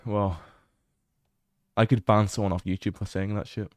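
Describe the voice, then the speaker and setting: A young British man livestreaming as he explores a game. He chats casually, swears freely and bursts into excited exclamations. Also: on the low side, moderate pace, a little hiss or hum.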